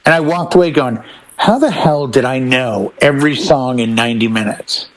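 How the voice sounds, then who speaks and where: A man's voice: mid-range voice, normal speed, rough recording. A man talking enthusiastically and emphatically in a casual panel chat as one of the show's panelists. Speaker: panelist on a DJ talk show.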